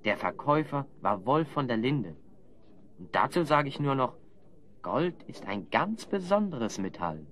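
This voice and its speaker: Shrewd adventurer lead, audio drama: lively intonation, confident, knowing. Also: male voice, mid-range voice, measured pace, slight background noise. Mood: knowing, sly. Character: shrewd, self-assured adventurer hero, explaining clues. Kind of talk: German children's audio drama acting.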